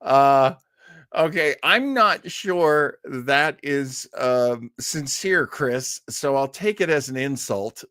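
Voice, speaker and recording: Animated man chatting on a livestream. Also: medium pitch, slow pace, clean tone, no echo. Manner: chatty and jokey, often chuckling. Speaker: host of a live online show; actor. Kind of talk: casual livestream chat to viewers.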